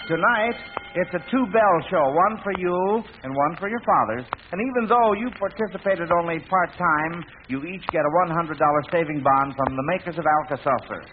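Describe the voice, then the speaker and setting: A man's voice: on the high side, moderate pace. An American man hosting a 1940s radio quiz in a folksy, hesitant, chatty manner, on a noisy, tinny old broadcast. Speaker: quiz show host.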